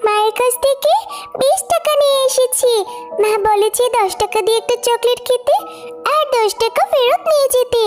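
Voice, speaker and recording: A cartoon little girl, with an extremely high voice. She is childlike and wheedling, always sounding set on a treat, over loud background music. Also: normal speed. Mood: eager. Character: pleading little girl who craves chocolate. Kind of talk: children's cartoon story dialogue.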